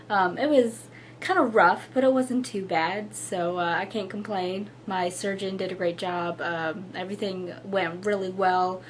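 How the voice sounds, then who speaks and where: Hoarse young woman chatting. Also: mid-range voice. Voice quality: husky. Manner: chatty, apologetic, with frequent fillers and little laughs. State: hoarse, losing her voice. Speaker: knitting podcast host. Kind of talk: casual chat to camera.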